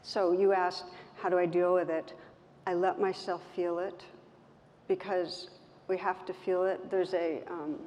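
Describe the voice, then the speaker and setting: A woman with a soft voice speaking gently and reflectively as a meditation teacher, in measured phrases with pauses. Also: fairly low pitch. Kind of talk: reflective talk in an on-stage conversation. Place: event stage in a hall. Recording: roomy hall, muffled, background noise.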